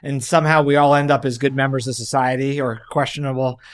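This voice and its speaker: A man's voice: medium pitch, fast talker, smooth. A man who co-hosts as a doctor, telling a childhood story in a casual chat. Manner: storytelling, animated anecdotes. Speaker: doctor and co-host.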